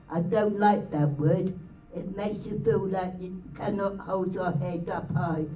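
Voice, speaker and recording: A woman reading her own poem aloud in a halting way, often repeating words and phrases. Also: mid-range voice. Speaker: poet reading own work at a public event. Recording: roomy, distant, muffled, faint music behind.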